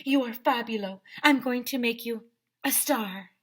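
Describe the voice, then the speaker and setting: Woman putting on a gushing high-pitched fashion photographer lady. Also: fairly bright tone. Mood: starstruck, insistent. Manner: effusive, drawn-out exclamations.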